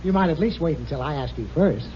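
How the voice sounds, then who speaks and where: A man's voice: a little high, expressive, fast, a crowd in the background, lightly acted. An actor playing a pompous, sarcastic middle-aged male boss who brags theatrically, in a 1950s radio sitcom. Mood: bluster. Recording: muffled old radio broadcast.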